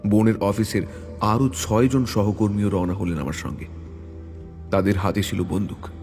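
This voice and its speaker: A man with a fairly low voice tells an adventure story in dramatic audiobook style. His delivery is measured and suspenseful. Music plays behind him. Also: fairly even intonation, darkish tone. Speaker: story narrator. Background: background music.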